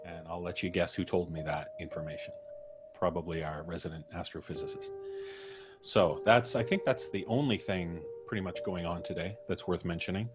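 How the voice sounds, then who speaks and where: A man's voice: low voice, steady tone, normal speed, music in the background. A man hosting a live show with casual, jovial, playfully wry banter. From Canada. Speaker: host.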